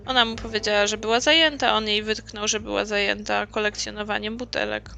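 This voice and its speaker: A woman's voice: mid-range voice, fairly even intonation, fast, vocal fry, bright, forceful tone, slight background noise. A woman hosting a gameplay video, giving casual commentary and recapping the dialogue as she goes. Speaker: gaming let's play host.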